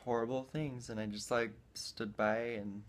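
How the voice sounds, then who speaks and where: A man's voice: mid-range voice, steady tone, moderate pace. A young man, a video creator, chatting casually and earnestly in informal language. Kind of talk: casual chat.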